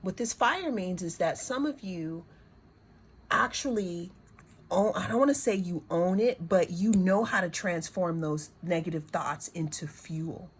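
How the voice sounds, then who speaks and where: A woman giving a reflective spiritual card reading, her voice hoarse, talking in unhurried, circling phrases that often repeat words. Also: lowish voice. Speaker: tarot and oracle card reader.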